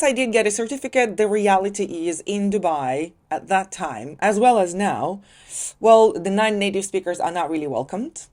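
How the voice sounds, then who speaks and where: Chatty woman vlogger, low-pitched voice. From Ukraine. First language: Ukrainian. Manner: chatty and lively, with sudden rises in pitch for emphasis. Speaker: vlogger, English teacher. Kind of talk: personal vlog storytelling.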